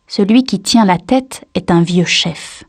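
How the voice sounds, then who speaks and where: Female poetry reader, unhurried and solemn, soft-edged husky tone, very dry close sound. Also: fairly low pitch, dry recording. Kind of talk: literary poem recitation.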